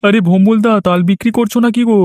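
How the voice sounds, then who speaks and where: Man voicing a fast, high-pitched village gossip. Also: fairly even intonation, darkish tone, no room sound, clean studio sound, natural voice. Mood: nosy, teasing. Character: nosy, teasing village man spreading ghost gossip.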